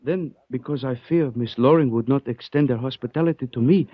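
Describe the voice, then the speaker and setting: A male actor plays a courteous but dangerous Chinese avenger from 1940s radio drama. His voice is rough-edged and his phrasing formal and measured. The sound is dull, aged and close, with little room around it. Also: mid-range voice, no echo, natural voice. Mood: grave, polite. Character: polite, menacing Chinese man avenging his murdered father. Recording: muffled 1940s radio recording.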